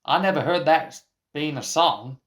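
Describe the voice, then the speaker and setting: A man voicing a folksy, cocky young Texan with an excitable manner and a Texan accent, in a radio play. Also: fairly high pitch, a little slow. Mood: folksy, defensive.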